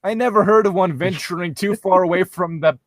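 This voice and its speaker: A man's voice: high-pitched, a little fast, dry recording, studio quality, voice pushed up, lightly acted. A man voicing an earnest, puzzled young fantasy hero in a mocking fan fiction reading.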